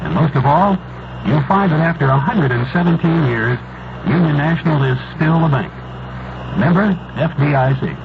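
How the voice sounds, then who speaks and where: A man reading a scripted, nostalgic radio commercial in a measured storytelling manner. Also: a little high, creaky. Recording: noisy, muffled 1970s AM radio broadcast.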